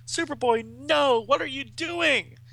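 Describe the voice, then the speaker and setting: Man acting out urgent, high-pitched young comic book superhero. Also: animated, bright, forceful tone, poor audio. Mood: alarmed. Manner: breathless, melodramatic, halting. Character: anguished teenage male superheroes crying out urgently. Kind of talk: dramatic comic book read-aloud.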